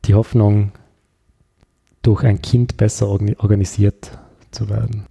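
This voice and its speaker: A man's voice: on the low side, level tone, measured pace, creaky, warm tone, slightly rough. A man with an Austrian accent chatting casually as an interview guest, thinking aloud and often searching for his words. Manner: hesitant, reflective, searching for words.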